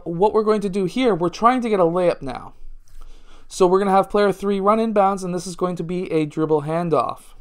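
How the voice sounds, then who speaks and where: A man's voice: high-pitched, smooth. A man, a basketball coach talking through plays step by step in a casual instructional way. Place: small indoor room at home.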